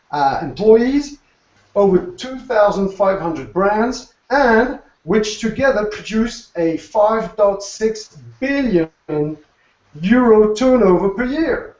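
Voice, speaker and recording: A man with an Alsatian French accent co-hosting a live video panel in halting English. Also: sits high, a little mellow. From Alsace, France. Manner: halting, hesitant English with many pauses. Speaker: co-host. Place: room in a restaurant venue in Strasbourg. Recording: echoey, distant, dull sound.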